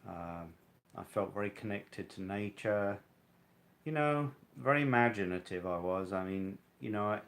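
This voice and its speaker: A man's voice: fairly low pitch, lively intonation, normal speed, a bit bright. A man in his sixties casually reminiscing, telling his story in a reflective, hesitant, rambling way. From Wales, then London from age eight. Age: 60s. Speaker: interviewee. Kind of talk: casual reminiscing about childhood.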